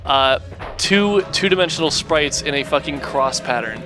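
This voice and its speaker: A man's voice: a little high, lively intonation, moderate pace. A man doing casual, joking gaming commentary in a sarcastic, profane way. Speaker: Let's Play co-commentator. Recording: music playing behind the voice. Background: video game music.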